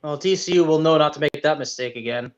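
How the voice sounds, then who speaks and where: A man co-hosting a sports podcast, chatting casually in brief, low-key replies. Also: quick pace, lo-fi sound. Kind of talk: casual chat, making picks.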